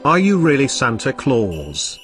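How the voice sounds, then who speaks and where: Man playing an excitable, eager little boy. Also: medium pitch, unhurried, faint music behind. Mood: eager, pleading. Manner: childish, eager. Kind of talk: old-time radio drama acting.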